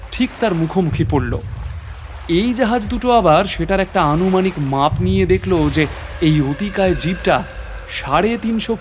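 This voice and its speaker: একজন পুরুষ অভিনেতা প্রাকৃতিক ইতিহাসের অধ্যাপকের চরিত্রে নাটকীয়, রহস্যময় ভঙ্গিতে নিজের অভিযানের গল্প বলছেন।. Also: male voice, high voice, a little hiss or hum. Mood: grave, suspenseful. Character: male natural history professor recounting his adventure. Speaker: narrator. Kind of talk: dramatic scripted story narration.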